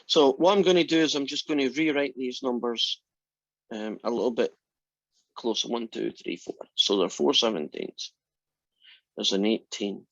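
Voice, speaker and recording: A man's voice: medium pitch, normal speed, gravelly, a bit bright, no echo. A man in his sixties teaching a math class online, chatty and friendly, often asking "right?" to check in. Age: 60s. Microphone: computer mic on a video call. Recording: dry, dull lo-fi call audio.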